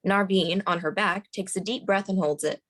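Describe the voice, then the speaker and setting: Female table-read narrator, medium pitch, delivering scene headings and action lines from a script. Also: steady tone, normal speed, a bit bright. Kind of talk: reading screenplay stage directions aloud.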